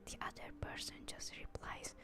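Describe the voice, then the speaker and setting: A young woman from Hungary, whispering softly as she chats with viewers on an ASMR stream. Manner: whispered, soft and slow, chatting with viewers. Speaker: VTuber streamer. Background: music.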